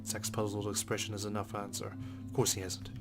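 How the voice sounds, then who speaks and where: A man's voice: lowish voice, monotone, a little fast. A man hosting a let's play, reading a visual novel's first-person narration aloud. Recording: music under the voice. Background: game music.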